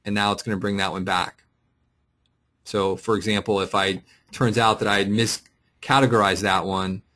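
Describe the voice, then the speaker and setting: A man presenting a casual, unscripted software tutorial, explaining and thinking aloud as he clicks through. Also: on the low side. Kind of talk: casual screen-share walkthrough, unscripted. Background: voice-over for a screen recording.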